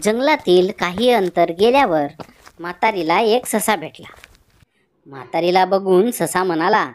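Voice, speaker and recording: A woman narrates a children's story from a script. Her pitch is medium and her pace is unhurried, as a storyteller for little ones. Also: expressive.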